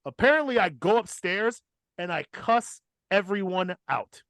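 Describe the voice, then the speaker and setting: High-pitched man telling a casual story. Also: animated, a little slow, fairly bright tone, dry recording. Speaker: podcast host telling a personal story. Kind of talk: casual, profane comic storytelling.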